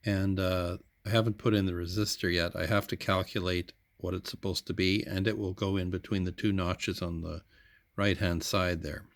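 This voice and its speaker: A man giving an unscripted how-to narration as a hobbyist, matter-of-fact and hesitant, often pausing mid-sentence. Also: low-pitched, level tone, dry recording. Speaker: hobbyist electronics repair demonstrator.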